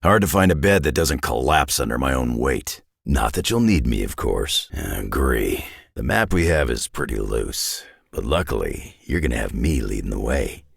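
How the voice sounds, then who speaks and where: A very deep, gravelly, gruff mutant warrior. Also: male voice, big pitch swings, moderate pace, hardly any pauses, no echo, heavily acted. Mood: gruff, matter-of-fact. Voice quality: gravelly, raspy. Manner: gruff, dry, sardonic, clipped folksy phrasing. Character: gruff, battle-worn older male mutant warrior, wry and tough. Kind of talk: video game character voice lines.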